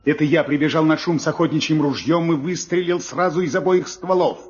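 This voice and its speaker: Highish-voiced excited father played by a man. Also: fairly quick, faint music behind, close to an everyday voice. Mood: dramatic. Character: excited father with a hunting rifle, grateful. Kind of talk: Soviet audio fairy-tale acting on record. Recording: muffled old vinyl record.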